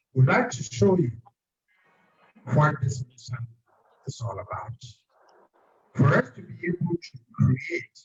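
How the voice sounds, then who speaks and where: A man from Ghana with a Ghanaian accent, giving a measured public presentation as a project chairman. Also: on the high side, highs cut off.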